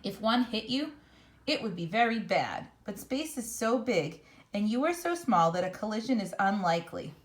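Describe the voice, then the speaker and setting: A woman reading a children's picture book aloud in a measured, expressive storytelling manner. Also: medium pitch. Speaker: storybook reader.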